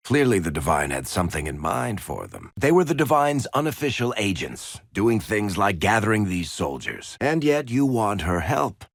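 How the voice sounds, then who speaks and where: A low-pitched, sardonic dwarf rogue spins his lines with a very lively, swooping delivery. He is a glib, teasing storyteller with shifty charm, a video game character recorded close and dry. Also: moderate pace, vocal fry, few pauses, dry recording, full character voice. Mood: dry, probing.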